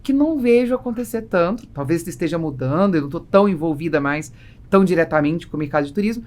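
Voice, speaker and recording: A woman's voice: fairly low pitch, expressive, fast talker, darkish tone. A Brazilian woman hosting a business podcast, explaining her opinions in a conversational way. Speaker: podcast host and interviewer.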